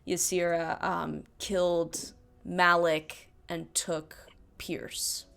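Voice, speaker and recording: A woman's voice: fairly low pitch, level tone, very slow, gravelly, crowd noise. A woman hosting a podcast, chatting casually about a tabletop game campaign. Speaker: podcast host.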